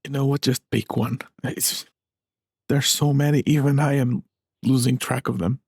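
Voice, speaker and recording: A man's voice: mid-range voice, steady tone, moderate pace, a little mellow, slightly rough, no room sound. A man giving casual, laid-back and dry reaction commentary as he plays a game. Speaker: gaming YouTuber.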